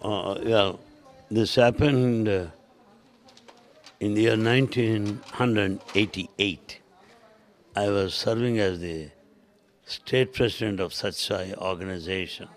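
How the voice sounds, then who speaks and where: An older man with an Indian accent, Telugu-born, speaking in a lowish, measured voice. He answers questions slowly, with small pauses and an occasional "uh". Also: fairly even intonation. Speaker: interviewee, translator. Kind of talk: interview answers in English.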